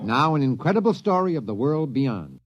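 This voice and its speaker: A man's voice: medium pitch, sing-song, normal speed. A man hosting a 1950s radio show, giving a dramatic, inviting promo. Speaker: radio host. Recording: dull old radio broadcast with loud music.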